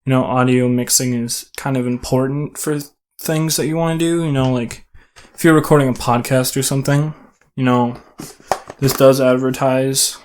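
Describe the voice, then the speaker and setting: A man's voice: medium pitch, fairly even intonation, moderate pace, a little hiss or hum. A man casually wrapping up a product review, speaking offhandedly into a better microphone. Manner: casual, offhand, thinking aloud. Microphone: better studio microphone.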